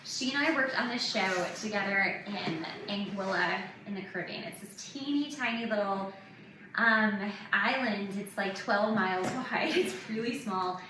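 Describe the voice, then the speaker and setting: A woman in her thirties hosting a casual podcast, telling candid personal stories in an animated way and acting out what people said. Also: mid-range voice, a little slow. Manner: animated, acts out quoted remarks. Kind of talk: casual chat, candid anecdotes. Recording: echoey room, distant mic, faint noise.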